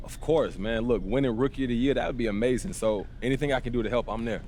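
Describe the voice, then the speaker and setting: A man's voice: medium pitch, quick pace, a little mellow, a little hiss or hum, natural voice. Confident, laid-back young man, acting a basketball rookie. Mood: upbeat. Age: young adult. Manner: casual, slangy, self-assured. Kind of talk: casual scripted video game dialogue.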